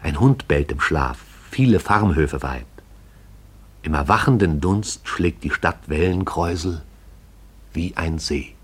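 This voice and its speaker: An actor playing the lyrical storyteller of a radio play, addressing the listener intimately in an incantatory, poetic way. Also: male voice, rumbling low, expressive, a little slow, husky, exaggerated voice. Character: hushed, lyrical omniscient storyteller of a sleeping town. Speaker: narrator.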